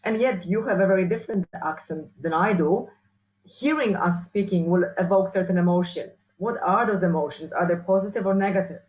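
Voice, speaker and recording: A woman with a strong Polish accent answering interview questions as a researcher and trainer, often restarting and filling pauses. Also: fairly low pitch, a little mellow, poor audio. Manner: hesitant, many restarts and fillers. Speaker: workshop speaker, researcher.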